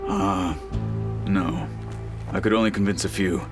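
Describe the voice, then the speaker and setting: Slow, low-voiced, dutiful clan warrior. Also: male voice, fairly even intonation, over music. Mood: uneasy, reporting. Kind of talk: dramatic video game cutscene acting.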